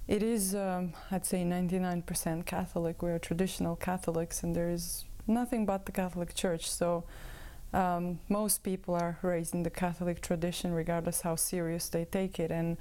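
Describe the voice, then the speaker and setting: A woman in her thirties from Croatia, a native Croatian speaker, giving her personal testimony as a guest with many pauses and fillers. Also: fairly low pitch, little pitch movement, warm tone. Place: room with bookshelves. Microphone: lapel mic.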